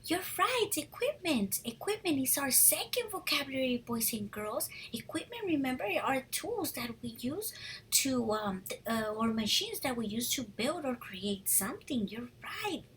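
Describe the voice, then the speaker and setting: A woman teaching young children through a picture-book read-aloud, animated and encouraging, pausing to ask questions, with a husky, breathy voice. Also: high-pitched, slight background noise, low-quality recording.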